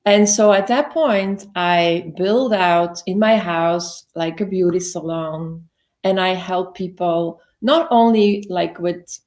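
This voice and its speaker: Woman, 50s, English, lower pitched, chatty interview guest who corrects herself. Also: takes it slowly, darkish tone. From Belgium. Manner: chatty, self-correcting, many "like" fillers. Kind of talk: casual interview, life story.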